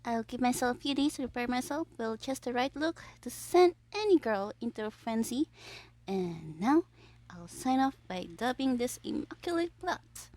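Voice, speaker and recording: Woman acting as a young supervillain, high voice, smug, theatrical, playful, scheming, audio roleplay. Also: lively intonation, normal speed, a little mellow, dry recording. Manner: theatrical, playful, self-satisfied, drifting into conspiratorial whispers. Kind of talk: scripted audio roleplay as a recorded diary.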